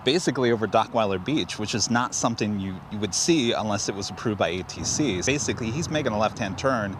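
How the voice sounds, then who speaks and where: A man with a fairly low voice casually recounts what he witnessed. Clear outdoor, traffic-like noise runs behind him. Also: lively intonation, fast talker. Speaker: interviewee, eyewitness plane spotter. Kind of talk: casual spoken recollection.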